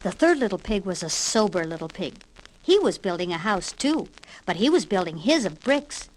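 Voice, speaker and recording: A woman reading a scripted children's read-along story with expressive, emphatic delivery, on an old vinyl record. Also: medium pitch, normal speed. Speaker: storybook narrator. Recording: 1960s vinyl record with hiss and pops.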